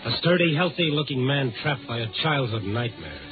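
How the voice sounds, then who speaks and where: An actor playing a brooding, sympathetic newspaper reporter in a 1950s radio drama, measured and confiding. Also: male voice, medium pitch, animated, natural voice. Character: brooding, sympathetic male newspaper reporter, night-wandering story hunter. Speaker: narrator and lead. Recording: tinny old radio broadcast with music.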